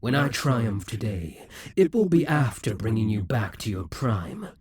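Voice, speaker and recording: A man's voice: fairly low pitch, very animated, measured pace, a little mellow, husky, no echo, heavily acted. Brief: male actor as a scheming demon king for an audio roleplay, dry close sound, taunting and possessive. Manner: taunting, possessive, drops to whispers.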